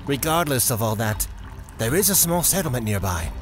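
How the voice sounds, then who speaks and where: Male actor as cautious fantasy clan leader, deliberate delivery, mid pitch, audio drama, faint music. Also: big pitch swings, close to an everyday voice. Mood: firm, alert.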